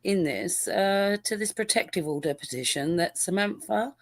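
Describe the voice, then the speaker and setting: A woman playing a patient female judge in an amateur courtroom roleplay, pausing often with ums. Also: medium pitch, lively intonation. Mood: calm. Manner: hesitant, with many ums. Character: patient female judge presiding over a hearing.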